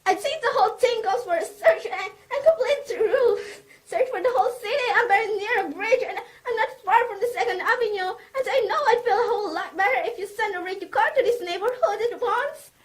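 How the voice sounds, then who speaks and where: A woman's voice: extremely high pitch, a little fast. A woman playing a frantic, imperious, self-pitying invalid wife in a melodramatic radio play, agitated and demanding. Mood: fretful, insistent.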